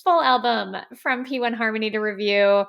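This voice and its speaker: A woman hosting a solo podcast, a little hoarse, talking in an earnest, effusive, rambling way into a microphone. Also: on the high side, normal speed, fairly bright tone. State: slightly hoarse. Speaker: podcast host. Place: in front of a camera. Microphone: studio microphone.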